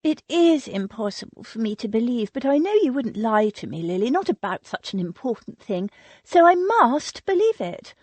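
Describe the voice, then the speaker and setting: A woman putting on a high, gushing society wife. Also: big pitch swings, normal speed, darkish tone, no echo. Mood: apologetic. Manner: effusive, pleading, breathless.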